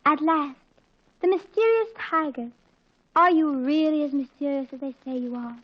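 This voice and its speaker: An actress playing an excitable, dramatic teenage daughter, pleading and breathlessly earnest, in 1940s radio comedy style. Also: female voice, sits high, normal speed, lightly acted. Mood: anxious pleading. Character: excitable teenage girl, dramatic daughter anxious about her date. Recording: dull old 1940s radio recording.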